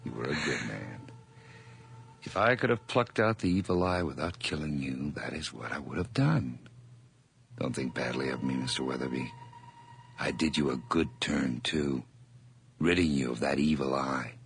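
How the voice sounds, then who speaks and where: An actor playing a mad, nervous murderer confessing in an old radio horror drama, theatrical and intense with whispers and outbursts. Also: male voice, a bass voice, lively intonation, normal speed, creaky, a bit bright, a little hiss or hum, exaggerated voice. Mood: cold, brooding.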